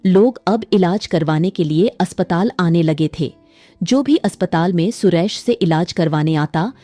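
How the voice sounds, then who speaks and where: A woman narrates a cartoon moral tale in scripted, dramatic storytelling style. Her voice is mellow and on the low side, with soft music behind it. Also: no room sound.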